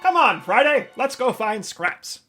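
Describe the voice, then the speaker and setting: An actor playing a wry American insurance investigator in an old-time radio detective drama. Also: male voice, very high-pitched, big pitch swings, a little slow, sharp tone, soft background music, full character voice. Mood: wry, confident. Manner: wry, quippy. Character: wisecracking 1940s-style insurance investigator, smooth with dames.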